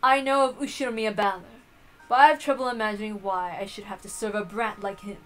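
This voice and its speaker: A woman's voice: mid-range voice, steady tone, fairly quick, faint music behind, voice pushed down, natural voice, rough recording. A woman voicing a haughty elder-sister witch with an arrogant, formal way of speaking in a visual novel reading. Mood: haughty, challenging. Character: haughty, self-proclaimed elder-sister golden witch, female.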